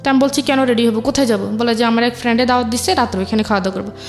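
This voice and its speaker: Young woman, Bangladeshi accent, slightly high pitch. Interview guest, halting conversational storytelling over light music. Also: fairly even intonation. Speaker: interview guest telling her life story.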